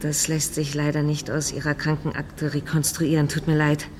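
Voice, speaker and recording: A woman's voice: deep voice, monotone, normal speed, background noise, close to an everyday voice. An actress playing a clinical, protective and firm female hospital doctor in a radio drama.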